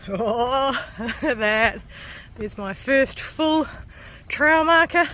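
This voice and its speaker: A woman's voice: high voice, animated, very slow, pushed and bright. A woman in her forties with a New Zealand accent, vlogging casually as she hikes a coastal trail and laughing often. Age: 40s. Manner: chatty, laughs often, breathy from climbing stairs. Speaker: hiking vlogger. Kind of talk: casual vlog narration while hiking.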